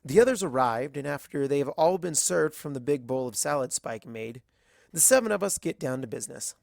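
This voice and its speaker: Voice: mid-range voice, animated, brisk, creaky, darkish tone, no room sound. Close, dry narration of a story. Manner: acts out dialogue in varied character voices. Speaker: narrator of a fan fiction audiobook. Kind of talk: scripted first-person story narration.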